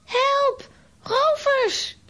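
A woman playing an excitable, exclamatory young girl in a children's radio play. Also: very high-pitched, extremely slow, full character voice. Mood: panicked, pleading. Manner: exclamatory, dramatic. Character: excitable, shrill young girl, comic-strip adventurer.

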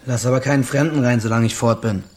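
A man's voice: medium pitch, fairly even intonation, quick pace, a little mellow, slight background noise, lightly acted. Actor as a curt, sullen backwoods man. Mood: curt, surly. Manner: curt, clipped, grudging. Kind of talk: literary radio play acting.

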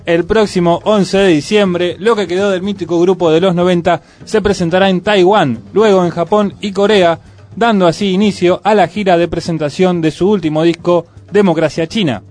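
Un conductor de radio argentino que lee noticias y bromea con su compañero con tono ágil. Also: male voice, sits high, fairly even intonation, moderate pace, a little mellow. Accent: Argentine Spanish. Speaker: radio news co-host. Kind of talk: news reading with banter.

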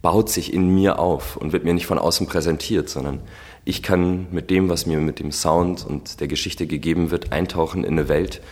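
A man in his thirties with a very low, husky voice. He speaks as in an interview, thoughtfully and articulately, letting his sentences run on in long chains of clauses. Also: steady tone, normal speed, darkish tone. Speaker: acting student. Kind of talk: reflective interview talk.

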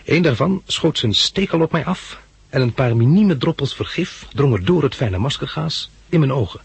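Husky, wry male radio-play narrator. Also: fairly high pitch, expressive, normal speed, no echo, exaggerated voice. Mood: reflective narration. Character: bandaged male hospital patient and narrator, wry, sardonic. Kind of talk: 1960s radio drama, narration and dialogue.

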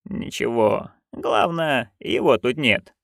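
A storyteller voicing a stubborn old witch woman who speaks curtly, slowly and quietly. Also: medium pitch, gravelly, dry recording, clean studio sound. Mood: stern. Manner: curt, flat, slow and quiet.

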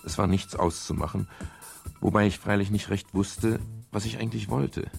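A sober private detective with a low voice and a creaky edge. He is measured and matter-of-fact, in the manner of a radio crime play. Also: fairly even intonation, darkish tone, faint music behind. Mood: sober, tense. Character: pensive, matter-of-fact private detective narrating his case. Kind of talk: scripted first-person radio crime narration.

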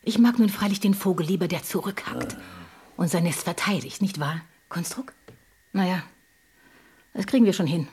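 An actress playing a shrewd, kindly farm mistress in a radio play, self-assured and amused, with a husky voice and a direct, probing manner. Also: female voice, lowish voice, lively intonation, normal speed, long pauses, a little mellow, a little hiss or hum. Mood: approving.

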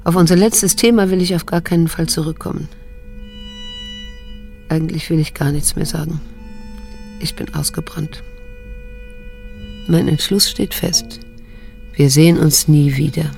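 Burned-out middle-aged daughter in radio drama, terse, lowish creaky voice. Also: female voice, fairly even intonation, measured pace, pauses often, a little mellow, soft background music. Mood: drained, resolute. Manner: restrained, terse, pained. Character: weary, burned-out daughter confronting her guilty old mother. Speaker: actor and narrator in a radio drama. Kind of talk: radio drama acting with inner monologue.

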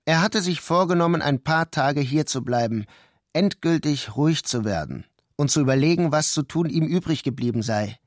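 A man reading scripted literary narration for a radio play in a measured, deliberate way. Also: on the high side, no room sound, clean studio sound. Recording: prominent music under the voice. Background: music.

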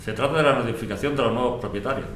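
Un actor con acento castellano que interpreta al moderador tranquilo y ordenado de una reunión de vecinos, en una ficción sonora. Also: male voice, mid-range voice, rapid-fire, natural voice. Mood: calm, moderating. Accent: Castilian Spanish. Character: Javier, calm orderly chairman of neighbours' meeting. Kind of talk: scripted audio drama. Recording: echoey room, background noise.

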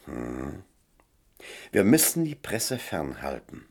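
Self-pitying authority figure, low voice, gravelly, theatrical, domineering, radio drama. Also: male voice, wildly swooping pitch, slow, darkish tone, full character voice. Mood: calculating. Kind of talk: German radio play acting.

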